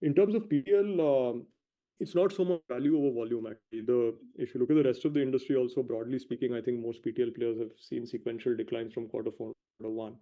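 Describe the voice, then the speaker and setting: A male company executive giving measured, explanatory answers on an earnings call, hedging and pausing between short phrases. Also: mid-range voice, steady tone. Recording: dull lo-fi conference call audio.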